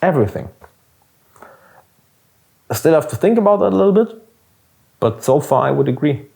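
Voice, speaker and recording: A man's voice: on the high side, very animated, moderate pace, vocal fry, warm tone. A young man with a German accent, hosting a casual motivational podcast in an earnest, emphatic way with some swearing. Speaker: podcast host and business coach.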